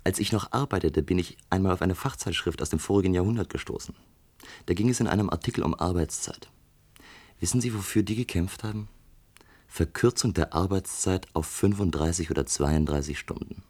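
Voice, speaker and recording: A disillusioned man brooding over his drink in a radio drama. His voice is very low and rough with huskiness. He muses in a sardonic, ruminative way. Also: fairly quick, darkish tone. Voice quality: deep, husky. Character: brooding, disillusioned unemployed electrician drinking at a bar. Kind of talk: German science-fiction radio play acting.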